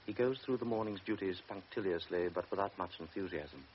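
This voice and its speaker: Deep-voiced man narrating a radio play. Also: fairly quick. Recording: dull old radio broadcast, faint hiss.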